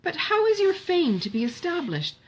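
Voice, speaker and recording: A woman's voice: extremely high pitch, sing-song, normal speed, pitched above the performer's own voice, poor audio. A woman voicing an affectionate, teasing middle-aged mother in a novel, questioning in formal language.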